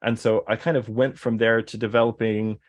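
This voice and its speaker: A man's voice: lowish voice, little pitch movement, normal speed. A man in casual conversation, rambling, with many fillers and restarts. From American Deep South. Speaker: guest.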